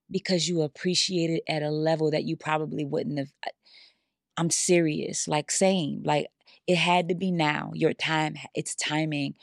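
A woman's voice: low-pitched, creaky, no room sound, clean studio sound. A woman hosting a casual conversation, effusive and encouraging in how she talks. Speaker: podcast host.

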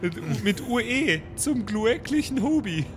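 A man's voice: high-pitched, sing-song, measured pace, slight background noise. A man improvising casually in character as a player in a tabletop role-playing game.

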